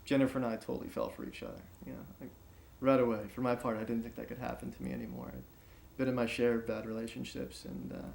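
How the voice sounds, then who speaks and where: An actor plays a sincere man with a creaky, medium-pitched voice. He confides candidly and a bit haltingly, with faint room noise. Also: sing-song, darkish tone. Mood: sincere, awkward. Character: sincere, formerly cynical man confessing an awkward romance.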